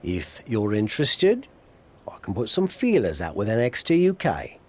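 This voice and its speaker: A man's voice: lowish voice, huge pitch swings, normal speed. A man voicing a chatty, eager and self-satisfied sidekick in video game cutscene dialogue. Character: chatty, eager male sidekick, proud of himself.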